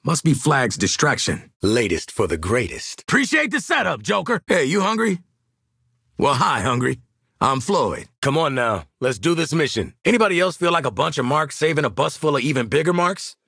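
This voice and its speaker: Brash, sardonic mercenary sharpshooter for a game; husky voice, very animated delivery, slangy. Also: male voice, fairly high pitch, moderate pace, no echo, clean studio sound, full character voice. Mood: energetic, wisecracking. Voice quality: raspy, gravelly. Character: cocky, wisecracking male mercenary marksman in a squad. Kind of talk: video game voice lines.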